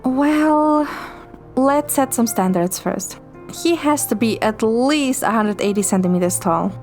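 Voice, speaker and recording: A woman voicing a playful, slightly bashful young heroine in a romance game, with a casual, expressive delivery. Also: on the high side, a little slow, soft background music, close to an everyday voice. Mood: dreamy, playful. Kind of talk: amateur romance game dub.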